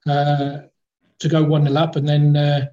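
A man's voice: a little high, monotone, measured pace, mellow, lo-fi sound. A man, a football club executive, talking as a guest in a casual interview, rambling and hesitant with many fillers and restarts. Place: in the capital of Kazakhstan.

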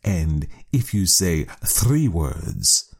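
Sly independent wild cat voiced by a man, slow drawn-out words, low voice, storybook creature. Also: very animated, darkish tone, exaggerated voice.

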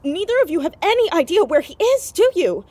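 A woman's voice: very high voice, very animated, fairly quick, a little mellow, dry recording. A woman playing a headstrong teenage girl investigator in an audio drama, urgent and confrontational. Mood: angry, demanding.

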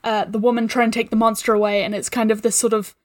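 A woman's voice: a little high, flat delivery, fast, no echo, clean studio sound. A woman guesting on a casual film discussion podcast, giving appreciative, opinionated commentary.

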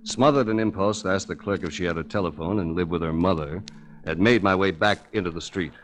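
Actor as a deep-voiced suave radio detective. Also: male voice, fairly quick, close to an everyday voice. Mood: wry narration. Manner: dry, urbane, wisecracking. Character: suave, wry hard-boiled male investigator narrating his adventure. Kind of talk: 1940s radio drama narration and acting.

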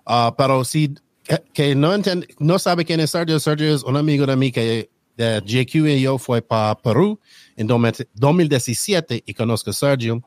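Host, male, native English speaker, halting Spanish with English slips, dry close sound. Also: medium pitch, no room sound. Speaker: podcast host. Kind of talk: casual podcast intro.

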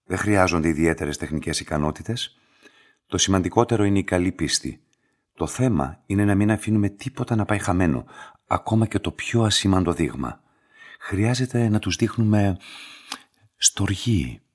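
An actor playing a composed, courteous and enigmatic laboratory director in a radio play, speaking in a measured, formal way. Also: male voice, low-pitched, lively intonation. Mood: calm, explaining. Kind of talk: scripted radio drama dialogue.

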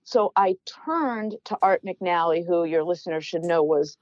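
A middle-aged woman with a naturally loud voice tells a story as a podcast guest, getting more and more worked up. Her voice sits mid-range. The sound is dry and a bit dull, as if slightly lo-fi. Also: lowish voice, a little slow, no echo. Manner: loud, animated, gets worked up. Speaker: podcast guest, former team executive. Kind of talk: storytelling in conversation.

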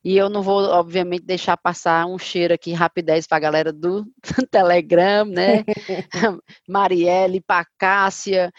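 Lower-voiced woman, muffled podcast mic. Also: steady tone, no room sound. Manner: fast, chatty explaining. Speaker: podcast co-host. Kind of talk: casual chat.

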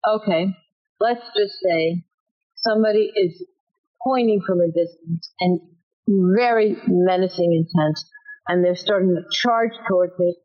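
A woman teaching self-defense in an interview, emphatic with a booming voice, often acting out example lines. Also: unhurried, highs cut off. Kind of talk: instructive interview talk.

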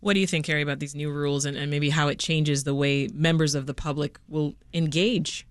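A radio host leading a news roundtable from a studio, briskly steering the talk between guests. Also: mid-range voice, fairly even intonation, smooth, no echo.

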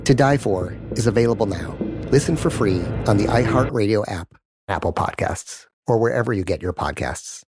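A man reading a scripted promo for his podcast, slow and dramatic. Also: on the low side, expressive. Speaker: podcast host in an ad. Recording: promo with music bed. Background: music.

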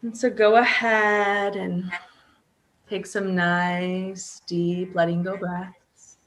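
A woman guiding a sleep hypnosis session as a hypnotherapist, calm, with drawn-out pauses and audible breaths. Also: mid-range voice. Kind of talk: guided sleep hypnosis, soothing relaxation script.

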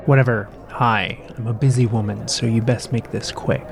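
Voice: medium pitch, steady tone, moderate pace, creaky, noisy background, lightly acted. A performer playing a guarded, sardonic woman spy in an audio drama, terse, dry and unimpressed. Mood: impatient, curt.

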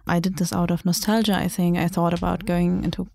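A woman's voice: lowish voice, steady tone, a little fast, vocal fry, dark tone, a little hiss or hum. A young woman from Germany whose first language is German, a journalist and writer, talking reflectively in a casual interview and laughing often.

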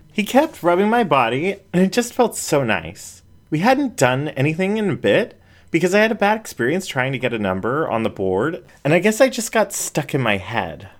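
A reader voicing a shy young female raccoon who talks in hesitant, emotional bursts. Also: low-pitched.

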